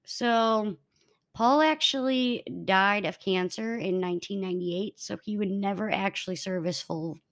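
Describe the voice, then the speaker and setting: A woman's voice: mid-range voice, measured pace. Woman telling a true-crime case. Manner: reads case notes, stumbles and restarts. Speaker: podcast co-host telling the case. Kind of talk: casual true-crime podcast storytelling.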